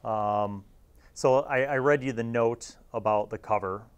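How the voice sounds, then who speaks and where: A man's voice: mid-range voice, a little slow, clean tone. An American man in his forties hosting a casual review to camera in a reflective, nostalgic manner. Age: 40s. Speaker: host.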